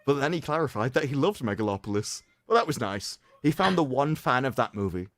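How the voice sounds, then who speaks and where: A male livestream host delivers a mock-solemn dramatic reading and breaks into sarcastic commentary. His voice swings widely in pitch and is theatrical throughout. It is recorded close and very dry. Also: animated, fairly quick, fairly bright tone, no echo.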